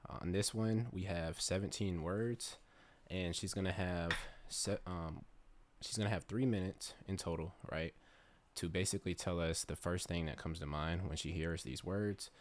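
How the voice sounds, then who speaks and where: Very low-voiced male podcast host. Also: normal speed, a little hiss or hum. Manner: relaxed, filler-heavy, lots of "right?" and "um". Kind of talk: casual interview hosting.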